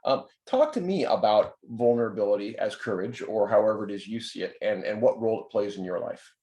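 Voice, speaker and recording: A man with a fairly low voice interviews a participant over a video call. He rambles and circles back with many fillers and restarts. His voice carries a roomy echo. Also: expressive, fairly quick, dark tone. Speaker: program facilitator and interviewer. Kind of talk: conversational interview.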